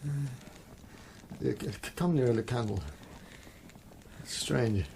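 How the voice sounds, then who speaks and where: A man playing a confused, sorrowful monk in a radio drama, halting and anguished in speech. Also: mid-range voice, animated, creaky, darkish tone, slight background noise, rough recording. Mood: dazed, confused. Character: troubled, confused, sorrowful male monk, memory lost. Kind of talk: BBC radio drama acting.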